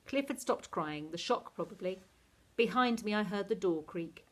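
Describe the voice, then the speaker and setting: A woman narrating a children's novel aloud in an expressive, animated teacher's reading style. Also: mid-range voice, moderate pace.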